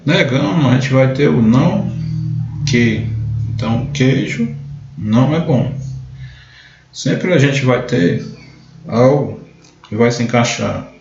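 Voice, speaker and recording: A man's voice: medium pitch, expressive, slow, gravelly, long pauses, a little mellow, faint music behind. A man teaching a logic class in a live online lesson, explaining step by step and often starting with "então". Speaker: math teacher. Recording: echoey room, dull lo-fi sound.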